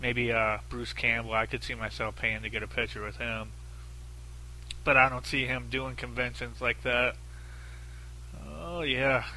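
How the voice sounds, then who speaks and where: A man's voice: mid-range voice, little pitch movement, measured pace, pauses often, sharp tone, slight background noise, rough recording. A man with a very nasal voice, hosting a solo podcast in a blunt, profane, rambling way with lots of fillers. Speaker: podcast host. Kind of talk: casual solo podcast talk.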